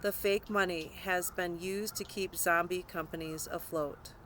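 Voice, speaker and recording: A woman's voice: on the low side, steady tone, measured pace, a bit bright, a little hiss or hum. A woman narrating a scripted nonfiction audiobook, reading aloud.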